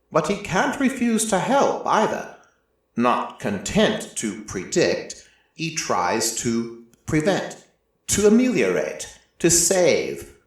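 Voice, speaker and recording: A man playing an ancient, merciless deity who narrates a story in a measured, solemn way with deliberate pauses. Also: mid-range voice. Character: ancient merciless deity, male-voiced, reflective and quietly fond. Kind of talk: scripted first-person dramatic narration. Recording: echoey room, slightly distant from mic.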